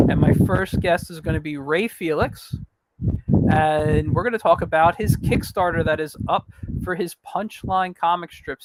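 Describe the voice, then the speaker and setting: A man talks loosely to his livestream viewers, trailing into fillers and restarts as he goes. His voice sits a little high and has a gravelly, fry-laden edge. It turns raspy now and then. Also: a little hiss or hum, low-quality recording. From New York. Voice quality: raspy at times. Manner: rambling, frequent fillers and restarts. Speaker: podcast host. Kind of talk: casual livestream announcement.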